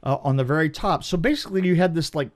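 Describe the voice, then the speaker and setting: A man's voice: on the high side, fast talker. A man telling a casual anecdote as a film crew guest, stammering and repeating words as he goes.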